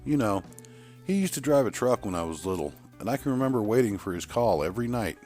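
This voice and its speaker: A wistful, earnest woman played by a male narrator shares childhood memories in an audio story. The voice is low for a woman and rises and falls expressively, with soft music behind it. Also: sing-song, fast. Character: wistful woman remembering her trucker father. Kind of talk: acted dialogue in an audio story.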